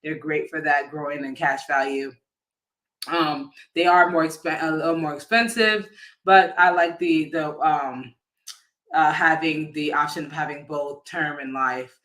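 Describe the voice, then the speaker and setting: Bright, higher-pitched man explaining casually. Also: steady tone. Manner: casual, halting, often rephrasing mid-sentence. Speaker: insurance agent giving a financial education talk. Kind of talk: informal explanatory live talk.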